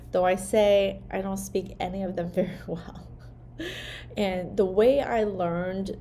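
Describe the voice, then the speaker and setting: A woman's voice: on the low side, creaky, warm tone, a little hiss or hum. A woman whose first language is Vietnamese, a hand therapist giving a casual teaching talk, peppered with "like" and "right?". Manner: conversational, frequent "like" and "right?" tags.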